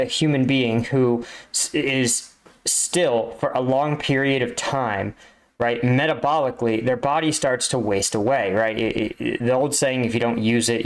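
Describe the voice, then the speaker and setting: A man with a medium-pitched voice gives casual reaction commentary. He talks like a host explaining things to viewers, conversationally, often tagging points with a quick check-in. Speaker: reaction video host, army veteran.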